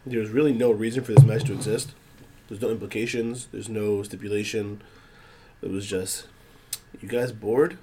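A man co-hosting a podcast, his voice a little hoarse from being under the weather. His tone is mellow and dark as he gives animated, opinionated takes. Faint noise sits in the background. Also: on the low side, moderate pace. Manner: animated, opinionated wrestling talk. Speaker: podcast co-host. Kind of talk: casual podcast banter.

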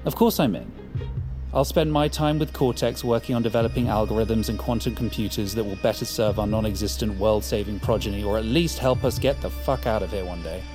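A man's voice: fairly low pitch, brisk, over music, close to an everyday voice. A man playing a sarcastic, grudging computer scientist in audio fiction, with a sardonic and wordy delivery. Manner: sardonic, verbose.

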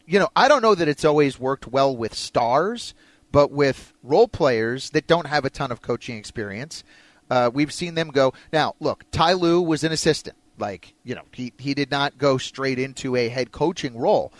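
A man's voice: mid-range voice, animated, normal speed, no room sound. An American man hosting an opinionated sports talk radio show, chatting with a co-host in a studio. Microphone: close studio mic.

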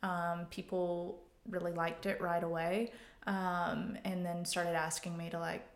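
A woman's voice: lowish voice, little pitch movement, unhurried. A woman in her thirties talking casually and reflectively as an interview guest, in an informal way. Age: 30s. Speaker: guest, photographer. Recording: roomy, echoey sound.

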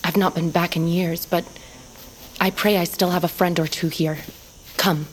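A woman's voice: on the low side, little pitch movement, moderate pace, slight background noise, close to an everyday voice. A woman playing a loyal, wary bird-folk rescuer in a fantasy audio drama, earnest and guarded. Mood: grave, protective.

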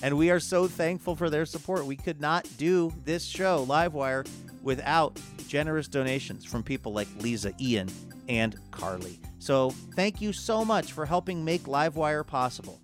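A man's voice: a little high, expressive, normal speed. A male radio show host announcing upbeat and friendly in front of a live theater audience. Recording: live theater broadcast with music and crowd.

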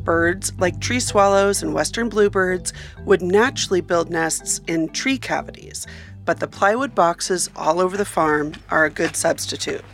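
A woman narrates a radio feature as its reporter, reading from a script. Her voice sits fairly low and has a marked creak at the edges. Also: measured pace, soft background music.

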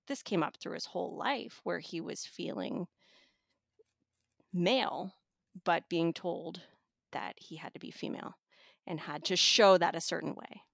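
A woman giving a conversational book review as a guest, thoughtful and pausing to find her words. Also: lowish voice, gravelly, no room sound, studio quality. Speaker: magazine editor reviewing an audiobook as guest.

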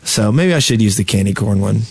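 A man's voice: lowish voice, lively intonation, fairly quick, vocal fry, darkish tone, breathy edge, no echo. A man co-hosting a gaming podcast with casual, self-deprecating banter. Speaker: podcast co-host.

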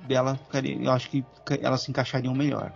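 A man's voice: mid-range voice, flat delivery, fast talker, creaky. A man, chatting casually on a podcast and giving his opinions in hesitant bursts. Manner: hesitant, opinionated, many restarts. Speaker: podcast co-host. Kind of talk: casual chat. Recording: muffled recording with faint music.

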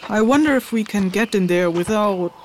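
A woman's voice: mid-range voice, moderate pace, a little hiss or hum, natural voice. A woman playing a stern, reserved, easily exasperated traveling mentor in a fantasy audio drama, speaking curtly. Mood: reserved, unsure. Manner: curt, hesitant, exasperated delivery.

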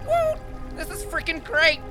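A man's voice: extremely high pitch, very animated, loud music behind the voice, heavily acted. A man playing a nerdy, boastful, awkward teenage computer whiz in an audio drama, speaking in internet slang. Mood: ecstatic. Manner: spells out internet slang, halting and eager.

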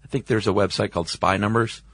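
American guy w a lowish voice, casual podcast chat, laid back wording, really dry close sound. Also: fairly even intonation, fast talker, a bit bright, dry recording. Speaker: podcast co-host.

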